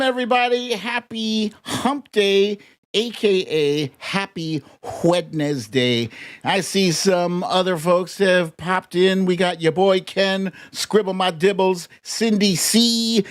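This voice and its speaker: An American livestream host chatting casually, greeting viewers in a playful, slangy, informal way. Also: medium pitch. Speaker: host of a live online show. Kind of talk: casual livestream chat with shout-outs to viewers.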